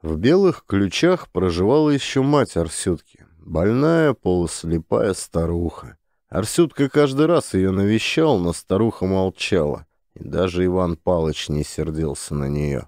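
A male narrator reads an audiobook in a measured storytelling style, close to a studio mic. His voice is low and mellow. The recording is dry, with no room sound. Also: expressive, no room sound. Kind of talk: scripted narration.

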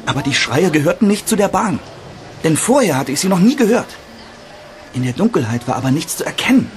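An actor playing an excitable, spooked but eager young man visiting a theme park in a German radio play, animated and sometimes hesitant. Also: a little high, a little fast. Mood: agitated recounting.

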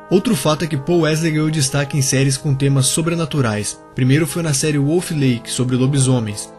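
A man narrates a scripted biography for his channel. His voice sits a little high, and he talks casually and straight to the viewers. Soft music plays under him. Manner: casual, addresses viewers directly. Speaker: YouTube channel host.

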